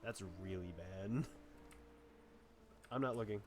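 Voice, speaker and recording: A man's voice: on the low side, takes it slowly, slight background noise. A man casually commenting as he plays a video game, with music behind him. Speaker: streamer playing a video game.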